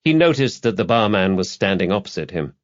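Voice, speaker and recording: A man's voice: sits low, very animated, fairly quick, highs cut off. A man reading a crime novel aloud as an audiobook narrator, in a scripted literary style.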